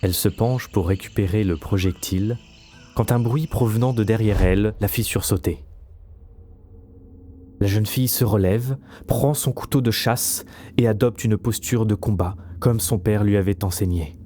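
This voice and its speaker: A man's voice: on the low side, normal speed, warm tone. A man narrating a scripted fantasy audio story as a storyteller. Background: faint music.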